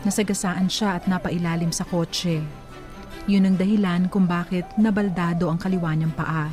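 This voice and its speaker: A woman acting a reflective adult narrator recounting her life story in a radio drama, with a Filipino accent and a measured manner. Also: lowish voice, steady tone, darkish tone, soft background music, lightly acted. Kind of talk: scripted first-person drama narration.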